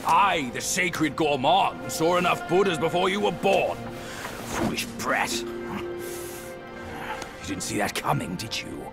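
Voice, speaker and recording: A man voicing a hot-tempered, boastful pig warrior in a video game, gruff and theatrically scornful. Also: a little high, very animated, a little slow, music in the background, exaggerated voice. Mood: suspicious, boastful. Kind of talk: dramatic video game cutscene acting.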